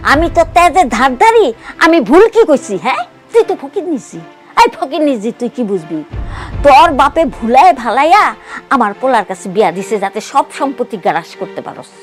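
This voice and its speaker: A scornful Bangladeshi village matriarch from a family melodrama. Her voice is high and swings widely as she berates and accuses, with faint music behind her. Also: very animated, brisk. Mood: scornful, furious. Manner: scolding, sneering, accusatory. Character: abusive, scornful mother-in-law, bitter village matriarch. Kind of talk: melodramatic TV drama acting.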